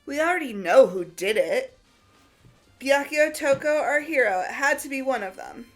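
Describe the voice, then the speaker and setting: A woman plays a teenage girl in a video game, grieving and insistent. The voice is fairly high and pleads its case with earnest emphasis over background music. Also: expressive, normal speed. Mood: accusing. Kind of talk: amateur video game voice acting.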